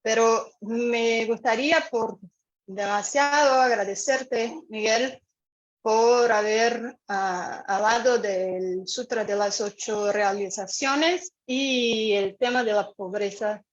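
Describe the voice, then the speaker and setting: A woman from Brazil with a Brazilian Portuguese accent speaking on a video call, unhurried and searching for words with many pauses. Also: fairly high pitch, lo-fi sound. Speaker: community member sharing. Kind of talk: online meeting talk. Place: home room with a fan.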